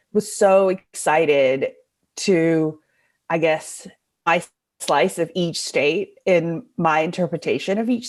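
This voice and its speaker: A young woman from Hong Kong chatting casually and effusively as an interview guest, full of enthusiasm. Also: fairly low pitch. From Hong Kong, also raised in Indonesia.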